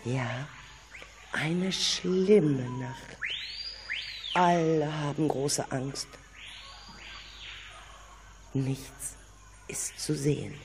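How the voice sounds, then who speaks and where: Slow, dramatic male storyteller over music. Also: on the high side. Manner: dramatic, suspenseful storytelling with exclamations. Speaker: narrator. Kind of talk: children's radio play narration. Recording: music playing behind the voice.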